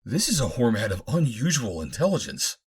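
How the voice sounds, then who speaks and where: A man's voice: medium pitch, big pitch swings, brisk, slightly rough, pitched above the performer's own voice. A man voicing a proud, calculating Martian scientist who creates bodies, speaking in a formal, grandiose lecture. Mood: shrewd, secretive.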